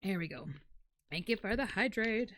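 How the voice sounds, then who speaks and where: A woman's voice: medium pitch, normal speed, no room sound, studio quality. A woman chatting casually as a livestreamer, candid and freely swearing, telling things in a dramatic, self-deprecating way. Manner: animated, dramatic storytelling.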